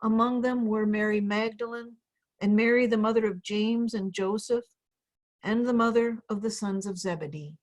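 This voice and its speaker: A woman's voice: a little high, steady tone, normal speed, a little mellow. A woman pastor reading scripture narrative aloud as part of a group reading on a video call. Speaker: Lutheran pastor.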